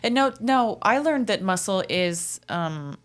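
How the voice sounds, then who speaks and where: A woman in her thirties hosting a podcast interview, asking eager, curious questions and thinking out loud. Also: medium pitch, animated, a little slow. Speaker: podcast host. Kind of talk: interview chat.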